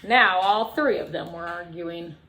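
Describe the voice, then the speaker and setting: A woman's voice: lowish voice, a little hiss or hum, rough recording. A woman reading a picture book aloud to children like a teacher, expressively, with dramatic emphasis and chatty asides. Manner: expressive, dramatic emphasis, drawn-out refrains, chatty questions to young listeners. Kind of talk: animated children's storytime read-aloud with asides to listeners.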